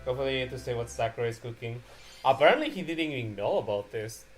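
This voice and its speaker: A man's voice: mid-range voice, expressive, fast. A man hosting a live reaction stream, chatting casually and animatedly with friends. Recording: music playing behind the voice. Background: background music.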